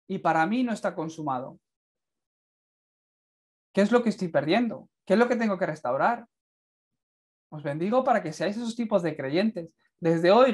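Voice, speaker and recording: A young man with a Castilian Spanish accent giving an earnest, fervent sermon and closing prayer, speaking to a camera indoors. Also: high-pitched. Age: young adult. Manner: earnest, fervent, builds to emphatic peaks. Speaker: preacher. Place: indoors, in front of a plain light wall.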